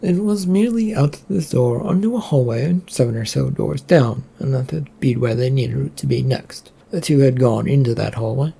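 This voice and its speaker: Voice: medium pitch, vocal fry, mellow, breathy edge. A person reading a fantasy story aloud as scripted narration.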